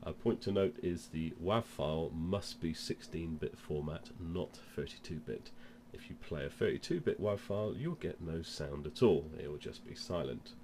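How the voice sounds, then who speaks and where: Male demo presenter, deep register, has a cold, informal step-by-step instruction, noisy recording. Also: expressive, normal speed, few pauses, warm tone. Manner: step-by-step explaining. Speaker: presenter of product demonstration.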